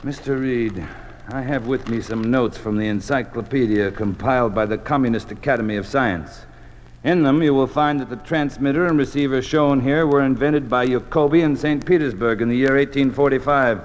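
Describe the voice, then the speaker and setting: An actor playing an officious, doctrinaire Communist official in an old-time radio drama, speaking in a pompous, clipped, commanding way. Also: male voice, mid-range voice, normal speed, close to an everyday voice. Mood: stern, lecturing. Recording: old radio recording, long hall reverb.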